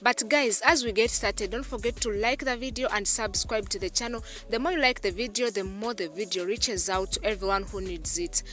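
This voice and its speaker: A woman's voice: medium pitch, brisk, few pauses, a bit bright, faint music behind. A woman from Uganda, hosting a tutorial voice-over, repeating herself often and calling her viewers "guys". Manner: repetitive, keeps addressing viewers as "guys". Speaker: YouTube channel host. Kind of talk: screen-recorded tutorial voice-over.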